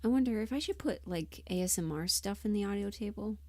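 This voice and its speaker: A woman livestreamer chatting casually and thinking aloud in an unhurried, musing way, often trailing into half-whispers. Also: mid-range voice, lively intonation, darkish tone, dry recording. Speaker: audio roleplay performer and livestream host.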